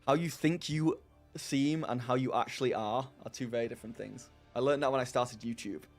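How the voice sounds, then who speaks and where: Male streamer, mid-pitched, chatty and self-mocking, faint music underneath. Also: fairly quick. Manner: rambling, self-deprecating, laughs often. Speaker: streamer hosting a live chat. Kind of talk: casual live-stream chat, answering viewers.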